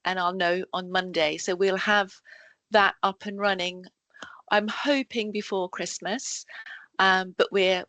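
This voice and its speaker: A woman presenting to colleagues in a work meeting, halting with frequent ums and self-corrections, heard over a video call. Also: mid-range voice, a bit bright, dry recording. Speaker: education support officer presenting to colleagues.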